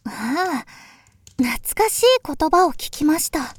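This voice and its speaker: A woman playing a polite, earnest younger sister in a voice drama, speaking formally and gravely. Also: sits high, lively intonation, no echo. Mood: polite curiosity. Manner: formal, deferential, measured. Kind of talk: Japanese voice drama acting.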